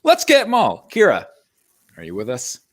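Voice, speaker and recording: A man's voice: medium pitch, huge pitch swings, unhurried, fairly bright tone, heavily acted. A man playing a cocky, laconic male space smuggler in a comedic sci-fi table read.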